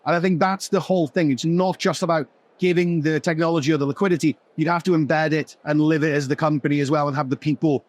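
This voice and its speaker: A man leading a business panel, asking long questions in a rapid flow. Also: fairly high pitch, steady tone, no echo. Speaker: company executive leading the panel. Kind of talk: panel conversation.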